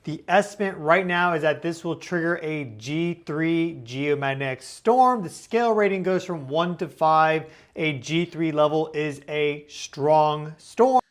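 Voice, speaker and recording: Man, high pitch, science video presenter, explanatory update delivery. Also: measured pace, few pauses.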